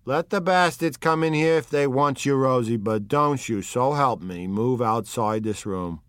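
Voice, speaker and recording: A man voicing an older male gangster, gloomy and sentimental, with broken grammar and a slow, nostalgic way of talking. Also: mid-range voice, animated, fairly bright tone, clean tone, no room sound, voice pushed up. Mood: brooding. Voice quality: broken, ungrammatical speech.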